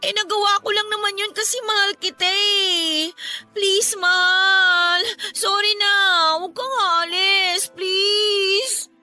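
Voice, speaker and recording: A woman's voice: very high voice, fairly even intonation, slow, pushed and bright, faint music behind, full character voice. A woman acting a lovestruck, clingy sixteen-year-old girl with a Filipino accent in a radio drama, pleading with her boyfriend.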